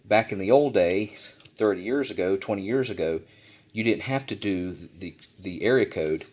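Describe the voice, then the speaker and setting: A man with a fairly low voice walks through a practical demonstration in a casual way. He explains each step plainly and often restates it to be clear. He is recorded indoors at a desk. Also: normal speed, a little mellow. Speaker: instructor, video presenter.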